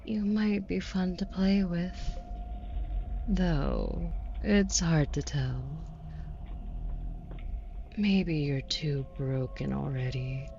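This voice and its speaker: A woman's voice: deep voice, very slow, creaky, long pauses, mellow, lo-fi sound, a little hiss or hum, full character voice. A woman playing a haughty, predatory demon buyer in an intimate audio roleplay, taunting with condescending, mock-sweet cruelty. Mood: menacing, taunting.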